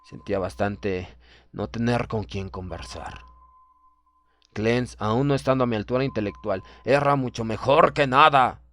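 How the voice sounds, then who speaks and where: Measured male horror narrator over music. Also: medium pitch, a bit bright. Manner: measured, formal, dramatic. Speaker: audiobook narrator. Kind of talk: scripted horror story narration, first person.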